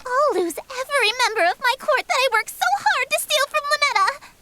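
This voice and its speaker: A woman's voice: extremely high pitch, animated, a little fast, sharp tone, dry recording, heavily acted. A woman voicing a haughty, theatrical female eldritch deity in an anime-style visual novel game. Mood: alarmed. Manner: theatrical, domineering, grandiose.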